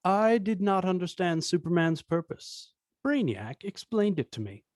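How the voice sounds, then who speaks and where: A man playing a smooth-talking, self-important villain politician in a table read, pompous and deliberate. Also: high voice, very animated, no echo, clean studio sound. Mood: placating.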